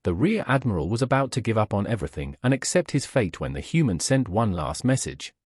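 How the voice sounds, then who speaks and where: A man narrates a scripted audiobook story. His voice is husky and moves up and down in a lively way. The sound is dry and close, with no room echo. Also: on the low side, brisk.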